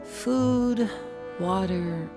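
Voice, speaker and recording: A woman's voice: medium pitch, very slow, over music. A woman voicing a composed, caring older brother in his teens for a video game, polite and self-assured. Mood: helpful, curious. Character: composed, caring teenage older brother, smooth young man. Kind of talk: English game voice acting.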